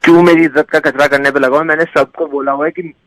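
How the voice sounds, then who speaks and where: A man's voice: a little high, fairly even intonation, fast, crowd noise. A man on a phone call, arguing informally and bluntly in agitated street slang. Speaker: prank call victim. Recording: very noisy phone line.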